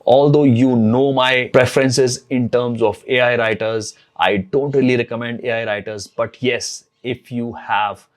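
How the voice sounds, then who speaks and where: A man's voice: medium pitch, steady tone, a little slow, hardly any pauses. A man whose first language is not English, talking casually to camera as host of a blogging channel and repeating phrases as he thinks aloud. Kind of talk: casual tutorial talk to camera.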